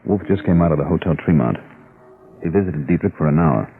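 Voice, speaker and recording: An actor playing a patrol-car agent giving terse, clipped reports over the radio in an old crime drama. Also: male voice, rumbling low, lively intonation, fairly quick. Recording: tinny radio broadcast.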